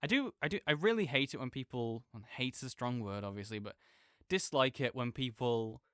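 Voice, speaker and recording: A man playing an opinionated gamer roommate in an audio roleplay, ranting casually and haltingly, often correcting himself with apologetic asides. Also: medium pitch, expressive, a bit bright, dry recording, studio quality, lightly acted. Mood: exasperated. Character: opinionated gamer roommate ranting good-naturedly about games. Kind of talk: rambling casual rant, sleep-aid audio roleplay.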